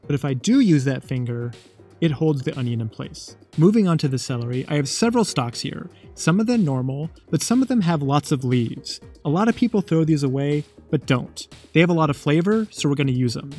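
A man's voice: on the high side, animated, brisk, a little mellow, soft background music. Man narrating a playful cooking lesson. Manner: playful, jokey instructional tone. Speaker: cooking show host.

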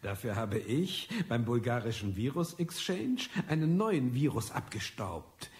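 A man's voice: medium pitch, animated, measured pace, a little mellow. An actor playing a sly, taunting male underground hacker in a radio drama, speaking in a conspiratorial manner. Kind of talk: German radio drama dialogue. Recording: long reverberant echo.

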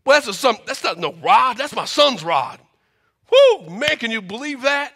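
An elderly mother overflowing with amazement, crying out in a high, bright voice, as a preacher acts her out. She exclaims and marvels, loud and breathless with joy. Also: normal speed, creaky, heavily acted. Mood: overjoyed. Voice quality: excited, exclaiming. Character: amazed old Hebrew mother, overjoyed.

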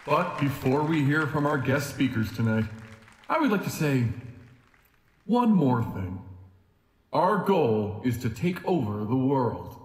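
A man playing a charismatic organization president with veiled menace, giving a deliberate, grandiose podium speech in an audio drama. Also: medium pitch, sing-song. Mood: promotional, then ominous. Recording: echoey hall with long reverb.

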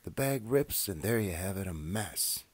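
A man's voice: deep voice, expressive, moderate pace, no room sound. A man reading aloud and voicing a messy, cheerful male pack rat in a storybook, in a chatty, breezy way.

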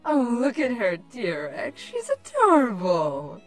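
A woman plays a lovestruck changeling queen from a cartoon, dreamy and gushing. Her highish voice lingers slowly and swoops, over background music. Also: huge pitch swings, heavily acted. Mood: dreamy, adoring. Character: lovestruck, doting ancient insect-winged changeling queen villain. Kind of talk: cartoon fan fiction voice acting.

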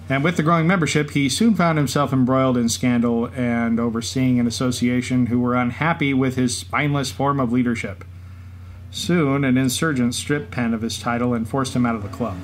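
A man's voice: mid-range voice, moderate pace, close to an everyday voice. A man co-hosting a podcast, telling stories in light scripted banter. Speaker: podcast co-host. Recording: clear background noise and ambience.

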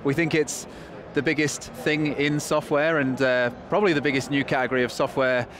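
A man in his thirties with a Northern English accent, answering interview questions quickly and keenly with some fillers, at a convention hall. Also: mid-range voice, fairly even intonation. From England. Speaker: guest, company chief executive. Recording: busy trade show noise behind.

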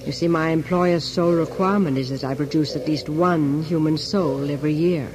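A man's voice: on the high side, steady tone, a little fast, background noise, natural voice. An actor playing a sly psychic shopkeeper in a radio drama, insinuating and theatrical as he bargains for souls. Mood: tempting.

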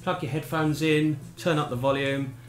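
A middle-aged man giving a casual, relaxed demonstration to camera with understated enthusiasm. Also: on the high side, normal speed. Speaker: presenter of his own equipment demo. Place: small indoor home room. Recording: echoey room, music playing behind. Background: music from the tape machine.

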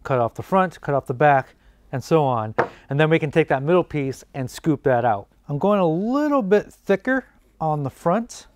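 A man's voice: a little high, moderate pace, no room sound. Man explaining woodworking in his workshop. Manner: relaxed, thinking aloud while working. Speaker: woodworking channel host. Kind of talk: casual workshop how-to narration. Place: indoor home workshop.

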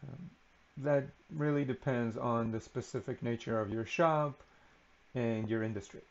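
A man talks through a software setup at a slow, easy pace, often pausing on "um" and "uh." His voice has a gravelly, creaky edge. The recording sounds a little muffled and lo-fi. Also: medium pitch. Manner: relaxed, unhurried, many ums and uhs. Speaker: software tutorial presenter. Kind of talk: unscripted screen-recording walkthrough.

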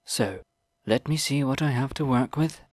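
A man reading an audiobook, voicing an ancient old wizard who speaks in a slow, deliberate and formal way. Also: mid-range voice, darkish tone, no room sound, studio quality, voice pushed up, lightly acted. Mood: measured.

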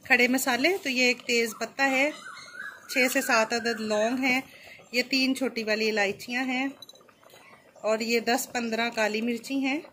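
A woman hosting a cooking channel, calmly explaining each step as she cooks at an outdoor stove. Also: on the high side, a little hiss or hum.

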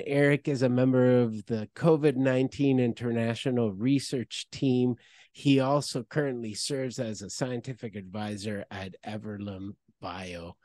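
A man's voice: mid-range voice, warm tone, no room sound, studio quality. A middle-aged man hosting an interview over a video call, reading formal, enthusiastic introductions into a headset mic. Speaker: interview host. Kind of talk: scripted intro then interview questions. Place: office with branded backdrop. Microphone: headset with boom mic on a video call.

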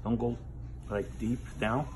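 A man in his thirties giving hands-on barber instruction to camera in simple, halting phrases with non-standard grammar, recorded indoors a few steps from the camera. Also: medium pitch, fairly even intonation, background noise. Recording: music laid over the voice. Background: music.

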